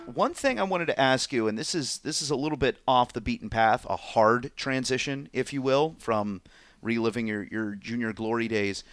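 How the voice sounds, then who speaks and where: A man's voice: mid-range voice, a bit bright, faint music behind. A man hosting a sports podcast, asking questions in a friendly, conversational way. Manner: friendly, conversational, quick acknowledgments. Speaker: podcast host. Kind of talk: podcast interview, reads sponsor ads.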